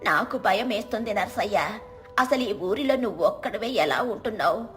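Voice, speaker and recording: A woman's voice: medium pitch, expressive, moderate pace, a bit bright, natural voice. A woman doing a fretful old village woman. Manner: fretful, complaining. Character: old village woman, timid, worried vegetable seller.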